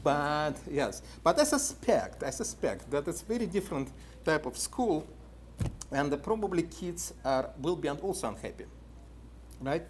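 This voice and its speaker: Lively Russian-accented man lecturing. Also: a little high, moderate pace, a little hiss or hum. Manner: animated, many "uh" fillers, questions to the audience. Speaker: conference speaker. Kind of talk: lecture to a live audience. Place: indoor meeting room at a podium.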